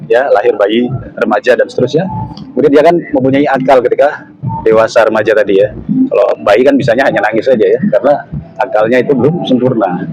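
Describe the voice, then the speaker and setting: A man's voice: medium pitch, darkish tone. A man giving a casual Islamic study talk, explaining step by step and ending phrases with small tag words. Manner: explanatory, repeats "ya" and "gitu" tags. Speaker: ustaz answering audience question. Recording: lo-fi with noticeable noise.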